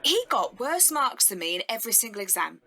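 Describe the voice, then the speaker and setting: An envious, self-doubting British woman photographer in an audio drama. She sounds earnest and grumbling, with a fairly high, bright, tense voice. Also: big pitch swings, brisk, full character voice. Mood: indignant, envious.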